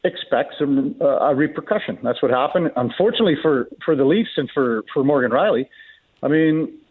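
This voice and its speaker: A man's voice: a little high, expressive, fairly quick, breathy edge. A man giving folksy, conversational opinions as a guest commentator in casual sports radio talk, heard over a tinny, narrow line.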